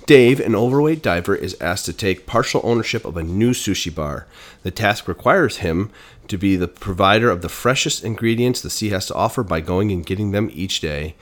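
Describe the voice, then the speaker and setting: A man co-hosting a casual video game podcast, sounding stuffed up from a cold, chatting in a relaxed way. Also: lowish voice, normal speed. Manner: relaxed, chatty, reads synopses aloud. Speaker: podcast co-host.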